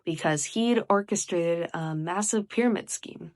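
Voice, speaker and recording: A woman's voice: fairly low pitch, unhurried, no echo, clean studio sound. A teenage girl from the United States with an American accent, reading a short story dramatically from a script. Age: teens. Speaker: young writer reading own story.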